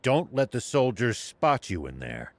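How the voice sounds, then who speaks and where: An actor playing a stern, shrewd old sheriff in a video game, speaking in a measured, musing way. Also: male voice, mid-range voice, wildly swooping pitch. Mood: confident, commanding. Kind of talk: video game cutscene acting.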